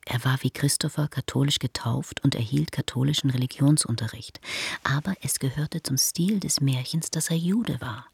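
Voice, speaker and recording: A woman narrating a literary radio play in a measured, hushed storytelling manner. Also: very deep voice, vocal fry, breathy edge, no echo.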